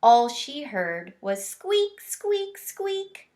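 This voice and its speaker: Woman as small boy hamster, storybook character, curious, earnest, high voice, unhurried delivery. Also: very animated, darkish tone, full character voice. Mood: curious, squeaky. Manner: squeaky exclamations, earnest. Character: small male classroom hamster, chirpy, curious, admiring.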